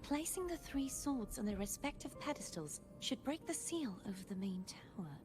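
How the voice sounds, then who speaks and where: A woman playing a gentle, self-doubting sacred maiden in a video game, speaking formally and hesitantly. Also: a little high, big pitch swings, normal speed. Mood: recalling, uncertain. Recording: background music under dialogue. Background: game music.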